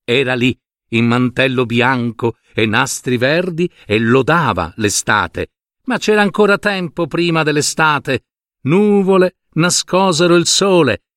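A man narrating a fairy tale as a storyteller, in theatrical, deliberate phrases. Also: mid-range voice, lively intonation, a bit bright, no echo, clean studio sound.

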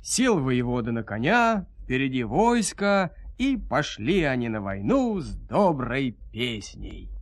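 A man narrating a dramatized fairy tale in an expressive, folksy storytelling manner. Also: medium pitch, slow, hardly any pauses, no echo.

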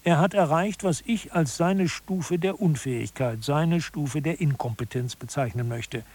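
An actor playing an expert who explains case studies in formal scripted radio narration. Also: male voice, a little high, a little slow, a little mellow. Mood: explanatory.

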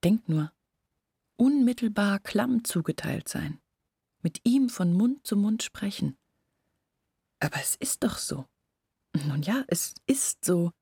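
Husky, brooding young village woman. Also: mid-range voice, animated, takes it slowly, pauses often, dark tone, dry recording, clean studio sound, exaggerated voice. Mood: whispered doubt. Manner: intimate, brooding, earnest explaining. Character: anxious, confiding young village woman, devoted sister. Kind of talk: literary radio drama acting.

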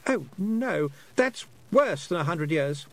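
A man's voice: a little high, big pitch swings, takes it slowly, voice pushed up, full character voice. A man reading aloud, voicing an anxious, chatty twelve-year-old boy who rambles and hesitates.

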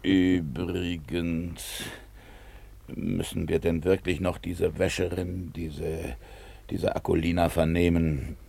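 An actor in a 1950s radio play voicing a jovial, stout, older police chief, pompous and blustering. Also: male voice, sits low, little pitch movement, slow, vocal fry, dark tone, slightly rough, heavily acted.

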